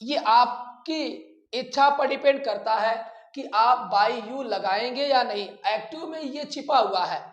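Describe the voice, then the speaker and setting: Slow-paced Indian male teacher, echoey room. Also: very high-pitched, fairly bright tone, muffled recording. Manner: repeats phrases for note-taking, checks with rhetorical questions. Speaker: English grammar teacher. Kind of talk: classroom grammar lesson, dictating notes.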